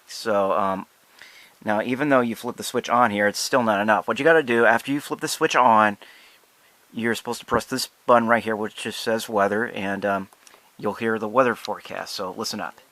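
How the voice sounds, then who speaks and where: Bright-voiced American man, halting and low-pitched. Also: steady tone, no echo. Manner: halting, hesitant delivery with drawn-out pauses. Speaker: product reviewer. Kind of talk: casual unscripted product demonstration.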